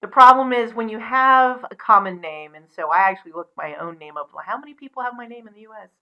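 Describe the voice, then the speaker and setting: A woman presenting as a storyteller, mixing expressive storybook reading with casual asides. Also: a little high, fast, dull audio.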